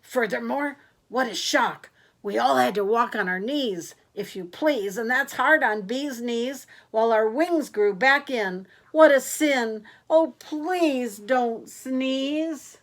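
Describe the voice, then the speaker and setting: Woman reads fussy buzzing bees, slow and high. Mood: fretful.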